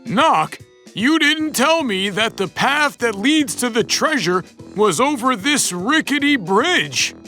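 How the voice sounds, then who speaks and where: A man voicing a friendly, cautious, thoughtful moose with deliberate, theatrical delivery in a children's cartoon. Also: very high-pitched, sing-song, sharp tone, faint music behind, exaggerated voice. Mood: wary. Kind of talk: animated children's cartoon voice acting.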